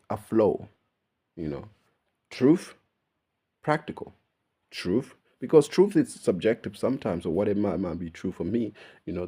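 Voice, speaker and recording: A man's voice: lowish voice, warm tone, slightly rough. A man with a Melbourne Australian accent, talking casually straight to his viewers in a laid-back, swearing monologue. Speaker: YouTuber.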